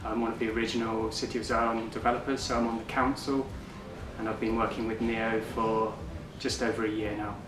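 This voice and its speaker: A man, a software developer, explaining his work in an interview. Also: on the low side, little pitch movement, a little fast, background noise. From United Kingdom. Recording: roomy, distant mic. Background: background music.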